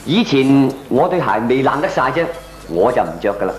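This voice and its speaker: A man's voice: mid-range voice, big pitch swings, brisk, faint music behind, natural voice. An actor playing a frugal, good-humoured ordinary man, explaining things expressively in comic radio drama with a Hong Kong accent. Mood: good-humoured, frank. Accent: Hong Kong Cantonese. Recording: echoey old radio drama recording.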